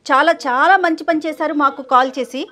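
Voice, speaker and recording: A woman's voice: sits high, steady tone, fairly quick, pushed and bright. A woman co-host, asking questions and speaking supportively. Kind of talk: supportive interviewing.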